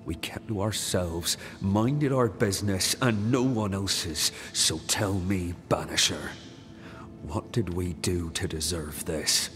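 Slow, deep-voiced solemn male ghost. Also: music in the background. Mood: grave, pious. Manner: grave, measured, resigned. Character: solemn pious ghost of a wronged settler. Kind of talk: dramatic video game acting.

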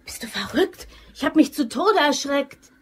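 An actress playing a nervous, eager office worker who is a robber's accomplice in a German crime radio drama. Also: female voice, high-pitched, animated, normal speed, fairly bright tone, a little hiss or hum. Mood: anxious.

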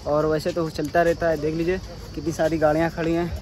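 A young man casually vlogging to his viewers at a motorbike ride meetup, talking excitedly and calling them guys. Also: a little high, quick pace. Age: young adult. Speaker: motovlogger. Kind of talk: casual vlog narration to viewers. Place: outdoors by a highway with motorbikes. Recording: outdoor traffic and insect noise.

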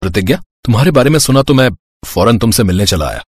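A man's voice: mid-range voice, expressive, quick pace, dry recording, clean studio sound, natural voice. A man voicing a helpful young police inspector in a cartoon story, earnest and reassuring.

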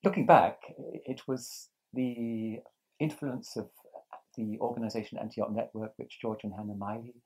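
A man in his sixties from England, answering interview questions outdoors in a thoughtful, halting way with frequent restarts. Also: medium pitch, steady tone, gravelly, a little mellow, slightly rough, low-quality recording. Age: 60s. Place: outdoors on a terrace by a lake.

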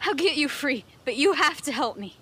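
Woman as a brash, high-voiced warrior girl. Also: animated, moderate pace, pushed and bright. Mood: urgent. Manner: brash, taunting. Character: combative, scrappy young female warrior. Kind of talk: audio drama acting.

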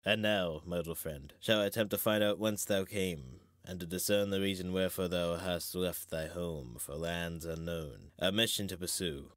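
A man playing a wise, grave old knight and mentor in a measured, theatrical Shakespearean reading. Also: low-pitched, fairly even intonation, no echo, studio quality, natural voice. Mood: thoughtful.

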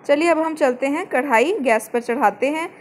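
A woman giving calm step-by-step cooking instructions as the host of a home recipe channel, mixing English words into her Hindi. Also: sits high, moderate pace, a little hiss or hum. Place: home kitchen.

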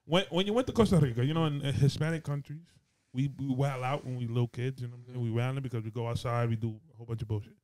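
A man co-hosting a podcast, joking and animated, mixing Spanish and slang. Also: medium pitch, racing, dry recording, rough recording. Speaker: podcast co-host. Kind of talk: casual podcast chat. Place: small podcast studio indoors. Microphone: boom mic on arm.

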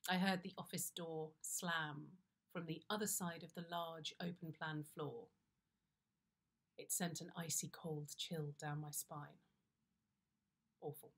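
British female storyteller, middle-aged, slightly low voice, dry confiding comic delivery. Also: breathy edge. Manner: wry, confiding, expressive timing. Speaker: storyteller, actress reading a story. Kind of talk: first-person comic story reading, conversational. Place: indoors, plain-walled room.

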